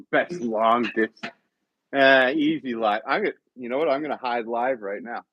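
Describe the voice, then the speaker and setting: A man's voice: mid-range voice, dry recording, muffled recording. A man from Connecticut hosting a casual live-stream podcast, rambling with lots of fillers and frequent laughter. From Connecticut, USA. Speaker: podcast host.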